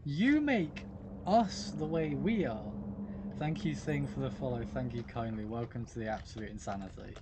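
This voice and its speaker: A man's voice: medium pitch, animated, normal speed, heavy background noise. A British man chatting casually with viewers while playing a game live, greeting them by name in a goofy way. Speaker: livestream gamer. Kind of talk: casual live-stream chat while gaming.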